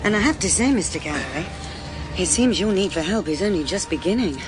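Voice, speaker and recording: An English actress in a radio drama, playing a brisk, capable woman detective with a dry, wry manner. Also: lowish voice, expressive, over loud music, close to an everyday voice. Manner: brisk, dry, matter-of-fact. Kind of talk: BBC radio drama acting.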